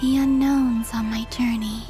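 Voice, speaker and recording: Woman as slow, gentle young moon goddess. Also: on the high side, steady tone, lightly acted. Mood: curious. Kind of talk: anime game voice acting. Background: music.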